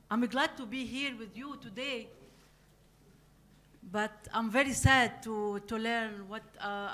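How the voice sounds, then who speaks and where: A woman from Syria with a Syrian Arabic accent giving a scientific conference presentation in halting non-native English. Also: a little high, fairly bright tone. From Aleppo, Syria. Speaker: conference speaker, plant virologist.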